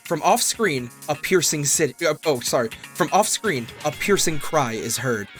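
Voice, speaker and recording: Animated man narrating a comic script. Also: on the high side, normal speed. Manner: prone to cracking up mid-line. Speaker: narrator reading script aloud. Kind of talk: dramatic scripted narration in a comedy fandub. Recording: music behind the voice.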